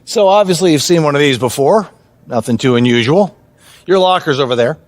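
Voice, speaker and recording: A man's voice: a little high, expressive, fairly quick, dry recording, natural voice. A man playing a direct, encouraging basketball coach in a video game, in a conversational style. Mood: matter-of-fact.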